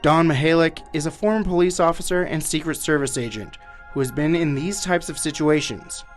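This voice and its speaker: American man, TV reporter, scripted narration, slightly high voice, music underneath. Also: fairly quick. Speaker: TV news reporter.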